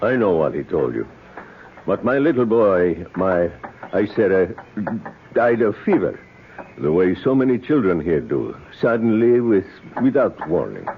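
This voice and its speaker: An actor playing a solemn, vengeful South American native father in an old radio drama, speaking in measured, formal phrases. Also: sits low, sing-song, exaggerated voice. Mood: tense, grieving. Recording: noisy, dull old radio recording.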